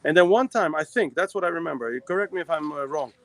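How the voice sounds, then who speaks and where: A man from Israel co-hosting a live talk show, talking fast in a rambling way with many restarts. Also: on the high side, lively intonation. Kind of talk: casual live chat.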